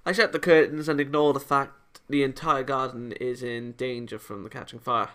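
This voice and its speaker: A man's voice: medium pitch, fairly even intonation, moderate pace, pushed and bright, clean tone. A man hosting a let's play, reading a visual novel aloud and breaking off into casual, joking asides. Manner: breaks off into joking, teasing remarks on the story. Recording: game music behind voice. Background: background music from the game.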